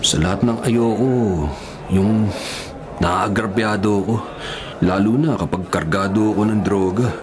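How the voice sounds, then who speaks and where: A man's voice: on the low side, unhurried, pauses often, natural voice. A man playing a big, violent, boastful convict in a Filipino radio drama, unbothered by threats. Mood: cocky. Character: big, muscular, cocky violent male convict. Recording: clear crowd murmur behind.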